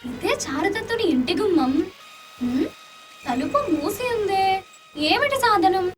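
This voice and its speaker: A woman's voice: extremely high pitch, sing-song, measured pace, far from the mic, soft background music, exaggerated voice. An actress playing a frightened but spirited young woman on the run in a radio play, dramatic in delivery. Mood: relieved, wary. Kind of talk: radio play acting in Telugu.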